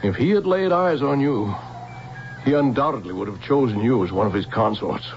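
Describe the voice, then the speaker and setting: Man acting gravelly american scientist guy, fatherly and earnest, old radio play, muffled sound with some music. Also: mid-range voice, lively intonation, normal speed, lightly acted. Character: protective older scientist, tender fatherly guardian to a young woman.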